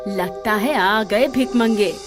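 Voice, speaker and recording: A woman playing a suspicious, scornful, money-minded wife in an audio drama, with a sharp, contemptuous manner. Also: mid-range voice, takes it slowly, music in the background, close to an everyday voice. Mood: scornful, bossy. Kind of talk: Hindi audio drama acting.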